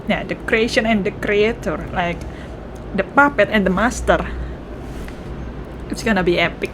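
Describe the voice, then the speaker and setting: A woman with an Indonesian accent reacting casually as she streams a game, excitable and switching between languages. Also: medium pitch, normal speed. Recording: noise behind the voice.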